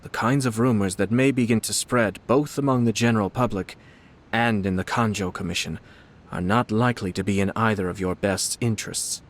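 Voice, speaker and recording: An actor playing a composed, courteous young noble commissioner, speaking in a measured, polite and gently probing way. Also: male voice, lowish voice, natural voice. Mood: cautioning. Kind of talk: game dialogue voice acting.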